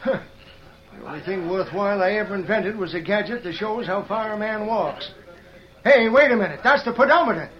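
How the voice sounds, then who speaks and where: High-voiced aggrieved Western shopkeeper, muffled recording. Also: male voice, expressive, a little fast. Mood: dismayed. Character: desperate, aggrieved small-town inventor and shopkeeper. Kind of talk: old-time radio western acting. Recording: muffled old radio drama recording.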